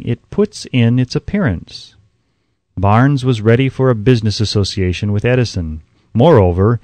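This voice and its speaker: A man reading an audiobook as scripted narration, in a measured, emphatic way, close to the microphone. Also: medium pitch, a little mellow, no room sound.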